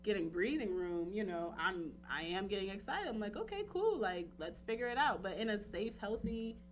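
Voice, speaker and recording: A woman in her thirties talks casually and candidly, as on a radio talk show. Her mid-pitched voice rambles along in an animated, filler-heavy way. There is faint noise and hum in the recording. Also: normal speed, rough recording. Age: 30s. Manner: rambling, animated, peppered with "like" and "you know".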